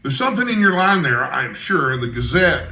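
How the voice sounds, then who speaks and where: A man's voice: fairly high pitch, very animated, brisk, bright, forceful tone, roomy sound, slight background noise, heavily acted. A man voicing a kindly, shrewd older Scottish news editor from a storybook, with a wry, expansive manner. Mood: encouraging.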